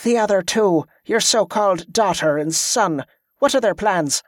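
A man's voice: high voice, lively intonation, measured pace, no echo, voice pushed up. A man voicing a stern, ruthless woman military commander who talks in cold, clipped threats. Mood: menacing.

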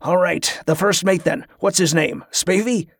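A man's voice: high-pitched, a little slow, gravelly, breathy edge, no echo, clean studio sound, full character voice. A man voicing a furious, imperious nobleman in an audiobook, growling curt, impatient demands. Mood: brusque, commanding.

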